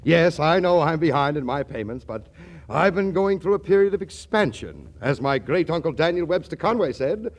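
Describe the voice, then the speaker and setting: An actor playing a smooth-talking, phony success-school boss in a 1940s radio comedy, pompous and glib like a salesman. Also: a little high, sing-song, moderate pace. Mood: glib, placating.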